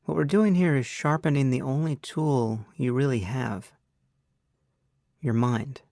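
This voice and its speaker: A man with a mid-pitched, noticeably creaky voice teaches a guided meditation. He gives measured, unhurried instructions and leaves long pauses between phrases. The recording is very dry, with no room sound on the voice. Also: warm tone, no echo.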